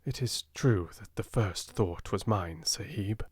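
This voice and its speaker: A gentle Indian manservant from a storybook, voiced by a male reader. He is humble and devoted, with a measured, deferential way of speaking and an air of quiet mystery. The sound is dry and close. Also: lowish voice, breathy edge, no room sound.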